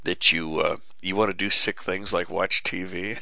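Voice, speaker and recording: A middle-aged man with a very low, gravelly voice that carries a bright, hard edge, rambling at listeners in a folksy, preachy way with many fillers and restarts. His voice is starting to give way after long talking. The sound is dry and close, from a studio mic. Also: fairly even intonation, moderate pace, dry recording. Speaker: radio show host. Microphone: studio mic with cough button.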